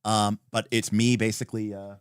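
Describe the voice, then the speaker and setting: A man's voice: lowish voice, little pitch movement, normal speed, smooth, dry recording, studio quality. A man hosting a livestream, chatting casually and unscripted, thinking aloud in a rambling, emphatic, opinionated way as he responds to viewers. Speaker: livestream host. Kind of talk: reading and answering viewer comments.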